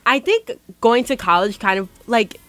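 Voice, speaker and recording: A woman in her early twenties with a fairly high voice. She talks casually in a student way, exclaiming and poking fun at herself, with "like" sprinkled through her sentences. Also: very animated, normal speed. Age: early 20s. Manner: self-deprecating, exclaiming, heavy use of "like". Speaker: college senior. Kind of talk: casual group chat.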